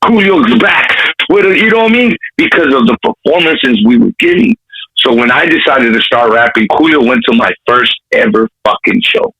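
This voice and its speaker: Animated, raspy streetwise Los Angeles man. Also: mid-range voice, moderate pace, low-quality recording. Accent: urban Los Angeles. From Compton, California. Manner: streetwise slang, frequent swearing. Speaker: rapper, interview guest. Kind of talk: animated storytelling in a casual interview.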